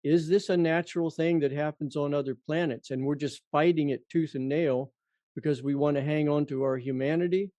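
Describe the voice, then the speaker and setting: A man's voice: fairly high pitch, steady tone, brisk, dark tone, no room sound. A man interviewing in a conversational way, musing earnestly and feeling his way through his questions.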